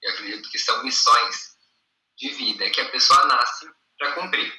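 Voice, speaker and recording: A man in his twenties from Brazil answering interview questions in an earnest, soft-spoken way. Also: medium pitch, moderate pace, roomy sound, recorded from a distance, rough recording. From interior of São Paulo state, Brazil. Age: 20s. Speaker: guest.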